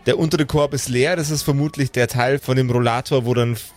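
A man with a medium-pitched voice runs an improvised tabletop game. He describes scenes in a measured way, feeling his way to the right word as he narrates. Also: fairly even intonation. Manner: measured, descriptive, searching for words. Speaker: game master.

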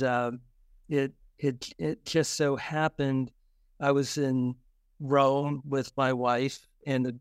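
Male interview guest, smooth clean tone, very dry sound, conversational storyteller who halts and restarts. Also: medium pitch, no room sound. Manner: halting, repeats words and restarts. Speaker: guest, children's book author.

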